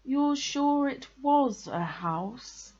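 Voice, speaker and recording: A woman's voice: sits high, lively intonation, very slow, lo-fi sound, pitched above the performer's own voice, full character voice. A woman voicing a friendly, eager, slightly simple male toy bear from a children's storybook. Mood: puzzled. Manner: cheerful, eager, gently puzzled. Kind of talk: children's storybook character reading.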